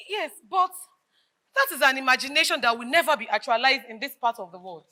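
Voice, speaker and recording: A sceptical Nigerian woman, high and forceful in voice. She questions and challenges theatrically, her pitch moving in lively swoops. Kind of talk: live stage drama acting, theatrical dialogue.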